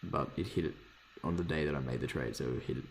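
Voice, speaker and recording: A man with a hoarse voice casually thinking aloud while reviewing trading charts, rambling, swearing freely and correcting himself. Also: a bass voice, fast talker, slight background noise. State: hoarse, lost voice from screaming. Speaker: forex trader reviewing charts.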